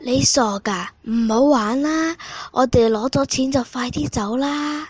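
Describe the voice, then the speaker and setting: A woman's voice: high-pitched, measured pace, slightly rough, dry recording. A woman playing a desperate, bossy, starving petty thief in a stage play, with a plaintive delivery. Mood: scheming, bossy.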